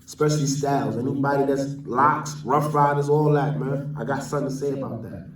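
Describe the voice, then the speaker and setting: A man's voice: mid-range voice, steady tone, brisk. A man from New York with a New York accent gives an off-the-cuff opinion rant as a vlogger, using street slang and repeating phrases for emphasis. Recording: roomy echo, distant from mic.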